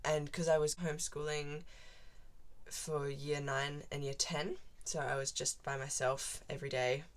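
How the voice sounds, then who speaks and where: Teen girl, strong Australian accent, low voice, chatty rambling vlog talk. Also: flat delivery, a little slow. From Central Australia. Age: teens. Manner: chatty and rambling, many "like" fillers. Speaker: vlogger. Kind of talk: casual chat to camera.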